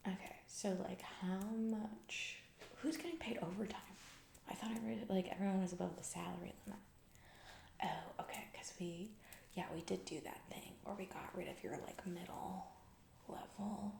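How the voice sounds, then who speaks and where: A woman playing a callous, self-absorbed, distracted female CEO in a soft-spoken ASMR comedy roleplay, breathy, rambling and trailing off. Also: fairly low pitch, roomy sound. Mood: feigned concern. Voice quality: breathy soft voice with heavy vocal fry. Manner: rambling, trailing off, vague filler-heavy corporate talk. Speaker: ASMR performer.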